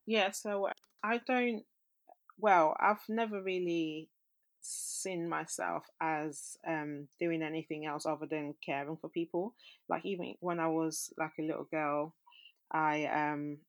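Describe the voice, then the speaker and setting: A woman's voice: sits low, fairly even intonation, slow, dry recording. A young woman from Austria talking casually as an interview guest, with informal, hesitant phrasing. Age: young adult.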